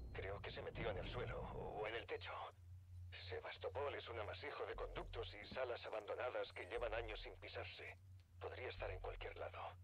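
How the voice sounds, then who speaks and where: A man's voice: lowish voice, a bit bright, natural voice. An actor playing a weary, uneasy man giving a terse, grave report in a video game audio log. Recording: dull radio recording with background noise.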